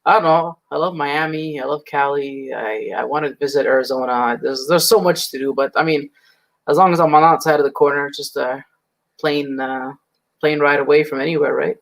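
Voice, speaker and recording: A man's voice: on the high side, fairly even intonation, moderate pace, vocal fry, rough recording. A man in his thirties from Lebanon, a guest talking casually and easily, swearing now and then. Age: 30s. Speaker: guest, snake breeder. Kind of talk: casual chat.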